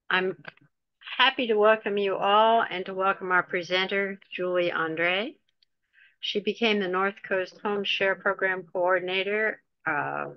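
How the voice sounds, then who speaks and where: A woman's voice: fairly low pitch, no room sound. Chatty woman host over dull mic. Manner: chatty and relaxed, with light jokes. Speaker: host and moderator. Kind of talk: introducing a speaker over a video call.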